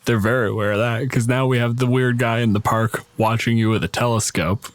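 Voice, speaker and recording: A man's voice: medium pitch, fairly even intonation, fairly quick, no echo. A man acting as game master, narrating an improvised tabletop game in a casual style.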